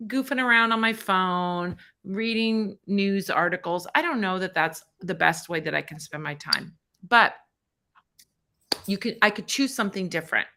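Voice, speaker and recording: Bright-voiced woman hosting a live tarot stream. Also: medium pitch, normal speed, slight background noise. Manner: chatty and encouraging, thinks aloud while fumbling with the stream setup.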